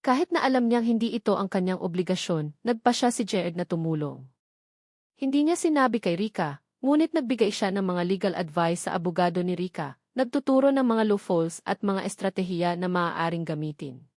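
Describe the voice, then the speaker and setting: A woman's voice: lowish voice, lively intonation, brisk, a little mellow, no echo, studio quality. A woman reading a scripted romance story as a dramatic narrator.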